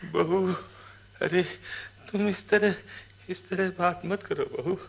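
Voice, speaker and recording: An actor playing a humble, anxious older villager in a Hindi radio drama, gravelly and husky, hesitant and pleading as he repeats himself. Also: sits high, fairly even intonation, slow, exaggerated voice. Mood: flustered. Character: humble, anxious, servile poor villager, older family man.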